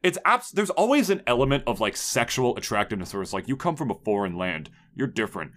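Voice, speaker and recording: Blunt, foul-mouthed young podcast host. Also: male voice, medium pitch, sing-song, a bit bright, slight background noise. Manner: blunt, heavy swearing, quick asides and put-on voices. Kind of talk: casual chat.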